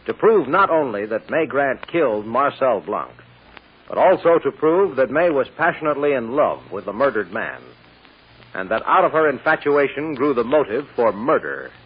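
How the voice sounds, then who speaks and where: A man's voice: mid-range voice, expressive, moderate pace, breathy edge. An American man acting as a grave, dramatic narrator of a courtroom story in an old-time radio drama. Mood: grave, suspenseful. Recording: tinny, noisy old radio recording.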